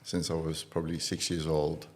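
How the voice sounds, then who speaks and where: A man from Iraq in his thirties, a guest on a podcast, telling his life story in a relaxed way with many fillers. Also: extremely low pitch, moderate pace. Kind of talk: casual interview talk.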